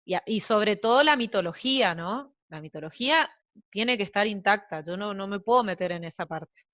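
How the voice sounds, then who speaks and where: Argentine lady rambling on in an interview, lots of fillers, mid pitch, dull audio. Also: expressive, normal speed, a bit bright, no echo. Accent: Argentine Spanish. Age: young adult to middle-aged, mother of young children. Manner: chatty, rambling with many fillers and asides. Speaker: translator guest. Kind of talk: casual interview chat.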